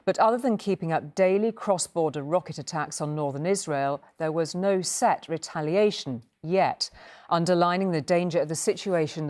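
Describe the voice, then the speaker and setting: A woman anchors a television news programme in a notably low voice. She reads from a script in the style of a broadcast bulletin. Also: darkish tone.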